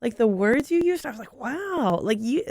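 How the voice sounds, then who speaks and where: A woman's voice: fairly high pitch, sing-song, moderate pace, darkish tone, dry recording, clean studio sound. A young woman from North Carolina, a podcast guest, chatting enthusiastically and rambling with lots of "like"s. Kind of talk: casual conversation.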